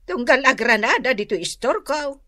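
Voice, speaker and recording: A woman's voice: sits high, lively intonation, normal speed, sharp tone, no echo, clean studio sound, full character voice. An actress playing an older village mother in an Ilocano radio drama, scolding and advising in an emphatic, exclaiming way.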